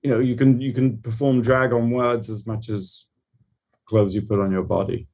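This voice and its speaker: Male interviewee, TV producer type. Medium pitch, thoughtful, articulate, conversational delivery. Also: steady tone, moderate pace, creaky, a little mellow. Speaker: television producer being interviewed.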